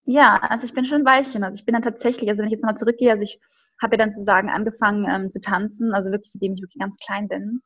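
A woman's voice: medium pitch, little pitch movement, rapid-fire, fairly bright tone, breathy edge. A young woman speaking standard German, a ballet dancer chatting cheerfully as a podcast guest, with many fillers. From Austria, raised in Upper Bavaria. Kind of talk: casual podcast interview.

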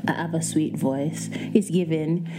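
A woman with a light, soft voice, hosting a podcast and telling a story in casual, playful chat. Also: low-pitched, measured pace, over loud music. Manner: animated, playful, breaks into singing and laughter. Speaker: podcast host.

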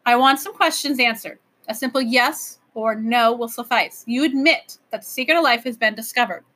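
Lady doing a storybook creature king, male, grand n pushy, lonely and excitable, bright high voice. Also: normal speed. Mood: excited, insistent. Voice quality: lisping. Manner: grand, pleading, insistent. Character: excitable, lonely, imperious magical royal creature, male, antlered.

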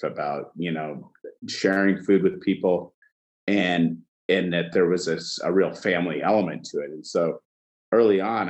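A man from Egypt, a chef, telling his backstory in a casual interview, halting with many fillers. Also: deep voice. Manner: halting, many fillers like "you know", laughs often. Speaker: chef, guest.

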